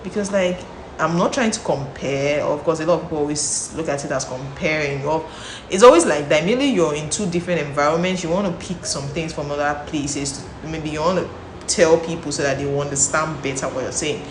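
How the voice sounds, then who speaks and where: A young woman from Nigeria vlogs at home in a low, full voice, her accent clear as she chats freely. She restarts phrases and repeats words as she talks. The room is echoey, with a faint hiss of noise behind her. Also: a little fast. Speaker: vlogger. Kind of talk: casual talk to camera.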